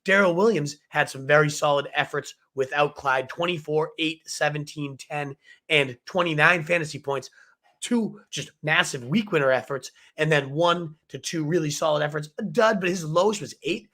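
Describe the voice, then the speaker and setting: A man with a cold and a hoarse voice, hosting a fantasy football advice livestream with excited, fast-talking hype. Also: a little high. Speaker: fantasy football show host. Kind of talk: energetic livestream sports advice.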